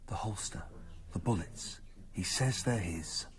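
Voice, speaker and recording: A man's voice: deep voice, unhurried, breathy edge, whispered, heavily acted. A man acting in a radio drama as a dutiful, hesitant assistant who addresses his superior politely and deferentially. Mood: apologetic, urgent.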